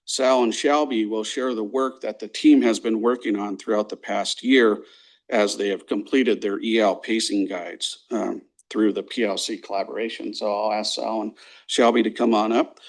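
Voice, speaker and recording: Gravelly-voiced man presenting. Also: on the low side, steady tone. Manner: measured, reads from notes with fillers. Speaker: program coordinator. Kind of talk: formal presentation to a school board. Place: board meeting room.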